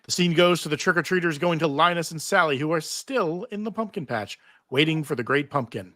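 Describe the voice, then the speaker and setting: A man's voice: fairly high pitch, animated, fairly quick, pushed and bright, no room sound, studio quality. A man narrating stage directions at a live table read.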